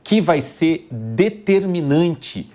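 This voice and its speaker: A man's voice: a little high, sing-song, extremely slow, a little mellow. A man with a Brazilian accent giving live political analysis as a commentator on a panel.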